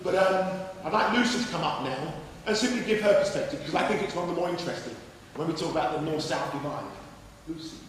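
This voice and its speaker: A man acting as host, introducing the next speaker in a relaxed, slightly halting way. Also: a little high, slight background noise. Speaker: event host. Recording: distant mic in an echoey hall.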